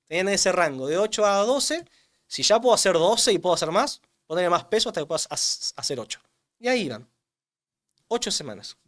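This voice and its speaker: This is a man, talking as an interview guest. He explains with emphasis and leans on repeated words. His voice sits a little high for a man. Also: sing-song, fairly quick, a bit bright. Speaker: strength coach for older adults, guest. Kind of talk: interview answers.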